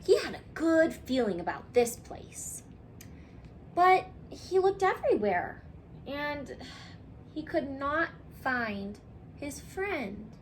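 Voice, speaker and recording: A woman reading a picture book aloud for children, expressively and with dramatic pauses, speaking directly to her young listeners. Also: high voice, very animated, slight background noise, low-quality recording. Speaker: children's story reader.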